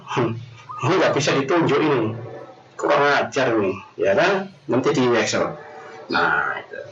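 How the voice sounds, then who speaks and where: A man with an Indonesian accent giving an informal lecture in a rambling, colloquial way, repeating himself and adding frequent tag questions. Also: medium pitch. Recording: roomy echo, distant mic, dull noisy sound.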